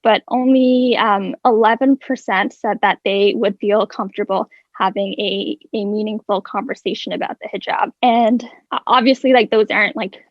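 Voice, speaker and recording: A woman's voice: medium pitch, steady tone, moderate pace, no room sound. A young woman, guest on a podcast, speaking earnestly and thoughtfully from prepared notes, with frequent "like" and restarts. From Ireland. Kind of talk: prepared personal talk. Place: podcast recording space. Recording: dry, dull lo-fi podcast audio.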